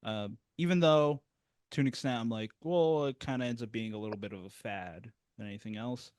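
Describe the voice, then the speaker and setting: A man's voice: medium pitch, brisk, a little mellow, no room sound. A man hosting a music review interview, talking in a rambling, self-deprecating way with many restarts. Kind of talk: casual interview chat. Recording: dry, close sound.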